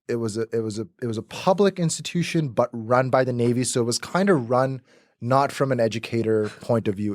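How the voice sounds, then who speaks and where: A man's voice: medium pitch, no echo, studio quality. A young man from Pakistan whose first language is Urdu, talking casually as a podcast guest with many restarts and fillers. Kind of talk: casual conversational interview.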